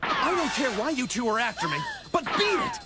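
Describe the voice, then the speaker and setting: A woman's voice: high voice, huge pitch swings, brisk. A woman voicing an irritated, brusque grown-up in a children's cartoon. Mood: annoyed. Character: irritated grown-up being followed. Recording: loud music behind.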